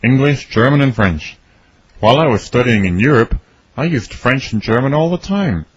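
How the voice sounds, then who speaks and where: A man reading his part in a scripted English conversation for learners, his voice slightly husky. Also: medium pitch, expressive, normal speed. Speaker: dialogue reader for English learners. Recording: dry, slightly dull studio recording.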